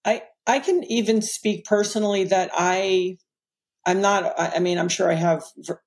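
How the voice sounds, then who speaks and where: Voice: mid-range voice, fairly even intonation, moderate pace. A person speaking in a casual podcast conversation, thoughtful and hesitant, searching for words.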